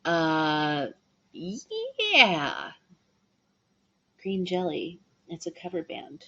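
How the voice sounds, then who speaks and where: Low-voiced woman, chatty livestream host. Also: moderate pace. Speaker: livestream host and band musician. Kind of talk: casual livestream chat to viewers. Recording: dull lo-fi livestream audio.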